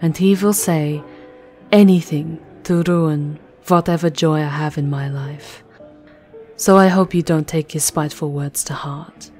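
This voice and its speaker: A woman playing an elegant vampire countess, soft, intimate and courtly, in an ASMR roleplay. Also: sits low, fairly even intonation, a little slow, faint music behind. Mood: earnest, wary. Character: elegant vampire countess, tender yet formidable lover.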